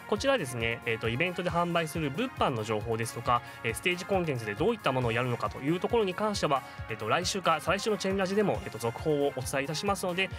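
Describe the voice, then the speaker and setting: Male staff announcer, slightly high, quick and lively, formal news updates over loud music. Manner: formal, polite presenter. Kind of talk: news announcements on a web radio show. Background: prominent music.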